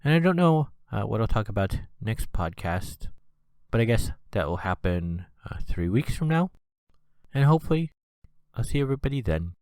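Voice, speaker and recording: A man's voice: fairly low pitch, big pitch swings, moderate pace, darkish tone, clear, resonant tone, no room sound. A man with a congested, stuffy nose hosting a casual solo podcast, rambling with plenty of "uh" and "you know". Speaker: podcast host.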